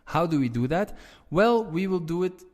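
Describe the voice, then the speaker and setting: A man's voice: high-pitched, animated, big-room sound. A Belgian man with a Flemish accent giving a patient, step-by-step online language lesson. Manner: slow, patient, repeats and explains step by step. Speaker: language teacher.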